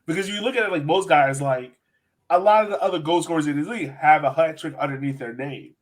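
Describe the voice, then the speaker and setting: A man with a slightly high voice hosts a casual soccer podcast. He talks in an informal, emphatic way, like he is chatting with friends. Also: quick pace. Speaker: sports podcast host. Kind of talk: casual chat, soccer analysis.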